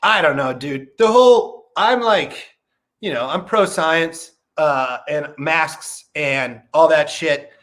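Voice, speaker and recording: Thirties comedian guest, high-ish voice, easygoing and wry about himself. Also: male voice, takes it slowly, fairly bright tone. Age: 30s. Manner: laid-back, self-deprecating, jumps into a high voice when quoting himself. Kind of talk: casual chat.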